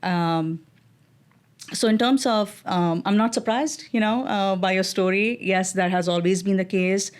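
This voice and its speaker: A middle-aged woman with an Indian English accent, a guest on an interview podcast, answering in a measured way with many fillers and restarts. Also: medium pitch, steady tone, clear, resonant tone. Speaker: guest, design executive.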